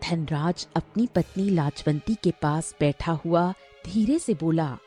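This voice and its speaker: A woman's voice: low-pitched, slow, dark tone, soft background music. A woman narrating a scripted fairy tale as a storyteller.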